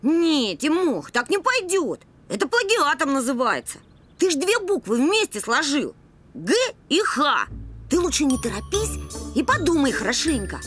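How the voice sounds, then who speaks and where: Excitable high-pitched cartoon puppy boy. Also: moderate pace, soft background music. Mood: scornful, bossy. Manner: eager, expressive, bossy. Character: excitable boastful young boy puppy, full of big ideas. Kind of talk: animated cartoon voice acting.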